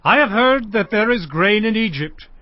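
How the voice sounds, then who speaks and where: A man's voice: high voice, expressive, normal speed, no room sound. An actor playing an old father, scolding and urgent, in a dramatized audio Bible. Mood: impatient, urging. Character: impatient old patriarch father urging his sons. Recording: dull, dry audio.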